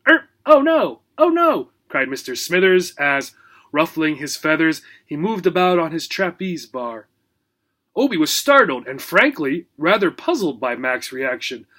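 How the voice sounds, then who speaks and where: A man's voice: on the high side, expressive, measured pace, sharp tone. A man narrating a children's book as a teacher, expressively and with theatrical emphasis.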